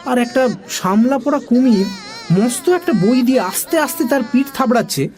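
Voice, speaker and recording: A woman narrating a children's story in an expressive, dramatic storytelling style. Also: fairly high pitch, moderate pace.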